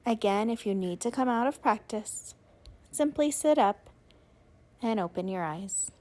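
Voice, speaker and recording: A woman leading a guided yoga nidra relaxation, giving soothing, unhurried instructions with long pauses. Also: fairly high pitch, expressive, darkish tone.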